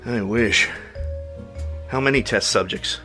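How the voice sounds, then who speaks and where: A man's voice: fairly low pitch, slow, bright, forceful tone. Tense, echoey male superhero. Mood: suspicious, demanding. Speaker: voice actor in an audio drama. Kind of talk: dramatic audio drama dialogue. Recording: echoey room sound over faint music.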